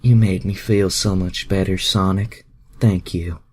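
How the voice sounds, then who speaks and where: A man's voice: low voice, steady tone, measured pace, slightly rough, voice pushed up. A man voicing a bold, flirty woman from superhero fan fiction, with a sultry, pushy manner. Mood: contented.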